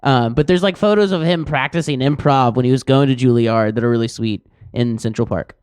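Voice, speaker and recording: A man hosting a podcast, making deadpan ironic jokes in casual banter in a small home studio. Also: mid-range voice, brisk, clear, resonant tone, dry recording. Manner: deadpan joking, ironic claims. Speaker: podcast host.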